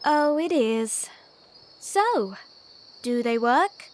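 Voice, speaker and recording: A woman's voice: a little high, lively intonation, extremely slow, long reverb tail, a little hiss or hum. A woman playing a dutiful, sincere female pegasus security officer in a fan radio drama. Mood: curious, friendly.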